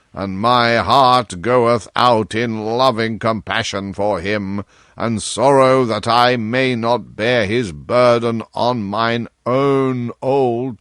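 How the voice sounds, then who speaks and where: A man voicing a frail old king in a storybook, with slow, commanding archaic royal speech. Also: mid-range voice, a bit bright, no echo, studio quality. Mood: sorrowful. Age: older. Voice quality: feeble, weighty. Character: frail old dying king, wrathful and sorrowful by turns.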